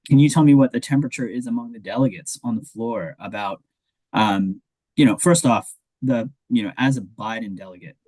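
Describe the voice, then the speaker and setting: A man moderating an interview, asking long questions with halting ums and uhs. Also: mid-range voice, mellow, no echo, poor audio.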